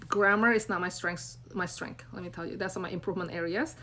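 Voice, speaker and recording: A woman's voice: fairly low pitch, fairly even intonation, fairly quick, sharp tone, slight background noise. A woman in her forties from Indonesia with an Indonesian accent, speaking on a live stream from her phone in a small room, rambling and earnest. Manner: rambling, filler-heavy, earnest and encouraging. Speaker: brand coach hosting a live stream. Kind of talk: unscripted live-stream talk to viewers. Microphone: phone camera at arm's length.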